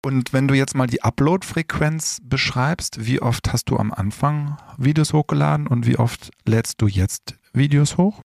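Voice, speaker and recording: A man's voice: medium pitch, moderate pace, creaky, few pauses, darkish tone, dry recording, clean studio sound. A man hosting an interview who asks questions with frequent hesitations and fillers. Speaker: interviewer, host.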